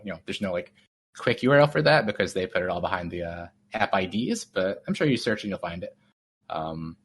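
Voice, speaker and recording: Rambling low-voiced game developer man. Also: fairly bright tone. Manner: rambling, many fillers and restarts. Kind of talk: casual interview talk.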